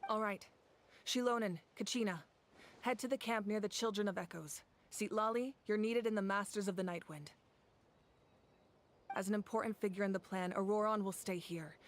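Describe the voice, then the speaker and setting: An actress playing a weary, resolute woman war leader in a video game, measured and commanding as she gives formal orders. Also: medium pitch, a little hiss or hum, lightly acted. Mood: grave, resolute.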